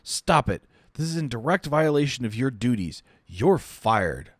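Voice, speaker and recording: A man voicing an angry male doctor who shouts sharp commands. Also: mid-range voice, big pitch swings, unhurried, no room sound, clean studio sound, voice pushed up.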